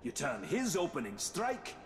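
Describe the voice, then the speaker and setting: An actor playing a roguish artifact expert in a video game, narrating in a wry, droll, theatrical way with grisly relish. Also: male voice, fairly high pitch, wildly swooping pitch, measured pace, slight background noise, heavily acted. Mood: wry showmanship. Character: roguish, wry male artifact expert explaining ancient weapons. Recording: echoey hall with long reverb.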